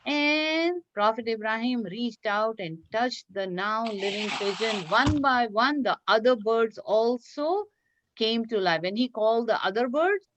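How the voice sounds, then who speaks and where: Casting: female children's teacher, fairly high voice, animated read-aloud with questions and repetition, dull online-class sound. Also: no room sound. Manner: animated, explanatory, repeats phrases and questions listeners.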